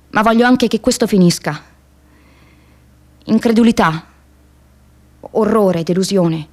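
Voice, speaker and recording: A woman's voice: mid-range voice, darkish tone, natural voice. An actress playing an idealistic, disillusioned young woman activist in a radio theatre monologue, speaking in an earnest, reflective, confiding way.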